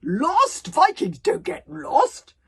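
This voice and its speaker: A brash Viking instructor , played by a woman, booms out orders for a children's storybook. He is careless and blustering, with a very husky edge. His pitch swoops about as he bellows like a pirate captain. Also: very animated, normal speed. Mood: scornful.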